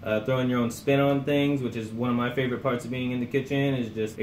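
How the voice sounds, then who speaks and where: A man's voice: medium pitch, fairly even intonation, quick pace. A young man from South Korea, co-hosting a cooking channel in an easygoing, chatty way. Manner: easygoing, laid-back, chatty. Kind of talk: casual chat. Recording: echoey room with background noise.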